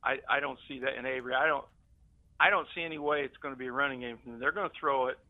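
A man's voice: mid-range voice, fairly even intonation, rapid-fire, no room sound. An older American man calling in to a radio show, giving casual sports opinions. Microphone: phone call. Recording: narrow phone line sound.

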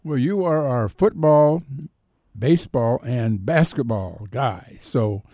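A man's voice: medium pitch, lively intonation, slow, mellow, dry recording, studio quality. A man hosting a sports radio show, putting questions to a guest in a casual way.

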